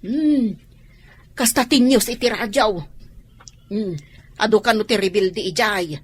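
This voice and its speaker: A woman's voice: medium pitch, a little slow, breathy edge. A woman with an Ilocano accent playing a gossiping neighbor passing on grim war news in a radio drama. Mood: knowing.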